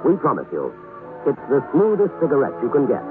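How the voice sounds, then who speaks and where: Animated male radio ad announcer. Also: mid-range voice, a little fast, phone-quality sound. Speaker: advert announcer. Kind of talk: 1960s radio cigarette commercial read. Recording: thin old radio, music behind.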